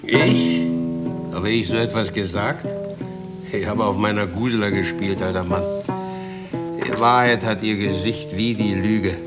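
A sly wandering minstrel played by an actor speaks in a lowish voice over clearly heard plucked strings. He is poetic and taunting, drawing out his tales like a radio-play storyteller. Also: fairly even intonation, natural voice. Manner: poetic, slow storytelling with mocking questions. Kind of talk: German radio drama acting. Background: plucked guitar-like string music.

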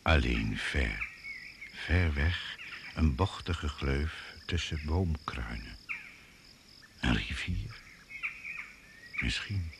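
An actor playing a grave narrator of a jungle thriller radio drama, speaking in clipped, deliberate phrases. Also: male voice, rumbling low, animated, noisy background, exaggerated voice. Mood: grave, suspenseful.

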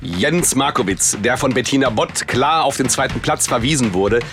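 A man's voice: medium pitch, lively intonation, moderate pace, over music, natural voice. An actor playing a formal, factual male news reporter with scripted delivery in a radio play. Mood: matter-of-fact.

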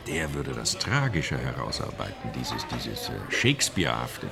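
A man's voice: a bass voice, wildly swooping pitch, moderate pace, gravelly, music in the background, exaggerated voice. A man playing a cynical drinking companion trading gossip in a German radio drama, dry and knowing. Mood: wry, cynical. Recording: chatter of a pub crowd behind.

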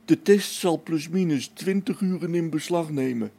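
Mellow, practical space technician, played by a man. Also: a little high, normal speed, vocal fry. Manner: down-to-earth, brusque, colloquial. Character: practical, matter-of-fact male space-station technician, mildly irritable. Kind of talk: Dutch radio play acting.

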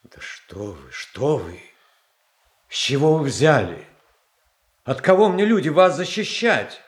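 An actor playing a gentle, trusting, flustered tsar in a classical radio tragedy, hesitant and repeating his phrases. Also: on the high side, very animated, takes it slowly. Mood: startled, helpless. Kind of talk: classical stage tragedy acting.

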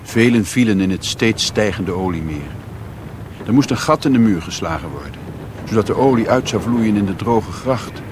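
A man's voice: lowish voice, lively intonation, normal speed, noisy background, lightly acted. An actor playing a sombre man who recounts his grim adventures in literary radio drama narration. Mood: grave.

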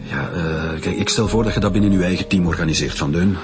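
Authoritative male police director, played by an actor, Flemish, very low, measured, radio drama with music bed. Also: close to an everyday voice. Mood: dismissive.

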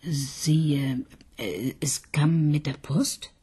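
An actress playing a brisk, dryly ironic police detective in a radio crime drama. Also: female voice, deep voice, mellow, husky, exaggerated voice. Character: busy female murder detective, dry and brisk.

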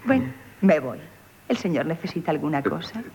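An actress playing a shrewd, flattering innkeeper, coquettish and chatty, in a radio play. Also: female voice, low-pitched, fairly quick, slight background noise. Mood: chatty, coy. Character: shrewd, flattering, independent young innkeeper woman. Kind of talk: theatrical radio play acting in Castilian Spanish.

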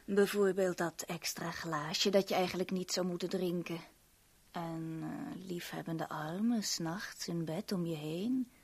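A woman's voice: on the low side, fairly even intonation, a little slow, darkish tone, slightly rough. An actress playing a teasing, seductive henchwoman in a 1970s radio drama, cooing endearments with mock tenderness. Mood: seductive menace.

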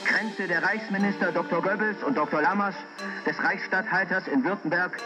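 A man's voice: sits high, fairly even intonation, moderate pace, low-quality recording. A man reading a scripted historical report with formal, declamatory diction. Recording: music playing clearly underneath.

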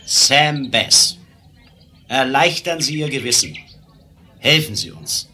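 Casting: stern western lawman, radio drama, mid-pitched and lively, clearly noisy background with chirping birds. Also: slow pace, exaggerated voice. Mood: insistent, sober. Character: stern, commanding western lawman questioning an outlaw. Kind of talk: German 1970s western radio play acting.

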